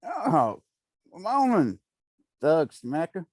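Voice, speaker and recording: A man's voice: on the high side, huge pitch swings, extremely slow, heavily acted. A man reading the part of an elderly neighbor at a table read, mock-polite and needling.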